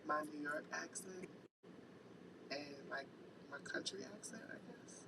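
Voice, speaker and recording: A woman's voice: deep voice, a little slow, creaky, far from the mic, background noise. A woman from Richmond, Virginia, with a Virginia accent, chatting casually and laughing often. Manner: laughing, incredulous. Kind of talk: casual chat.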